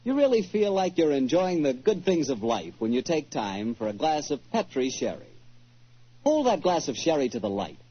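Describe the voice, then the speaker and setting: An American man announcing and pitching a sponsor in a folksy, conversational 1940s radio style, with a husky voice. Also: sing-song, normal speed. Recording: dull 1940s radio recording.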